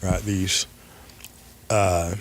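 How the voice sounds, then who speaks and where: A man in his fifties talks through a podcast conversation in a fairly low voice. He is emphatic, circling back and repeating phrases to press each point home. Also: level tone, very slow, slight background noise. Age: 50s. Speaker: podcast host.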